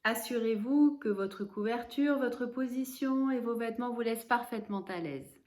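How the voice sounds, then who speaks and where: A woman leading a guided relaxation as a yoga nidra instructor, giving calm, measured instructions with pauses. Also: fairly high pitch.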